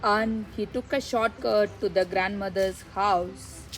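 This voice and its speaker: A woman's voice: medium pitch, steady tone, takes it slowly, rough recording. A woman narrating a children's story from a script for a puppet show.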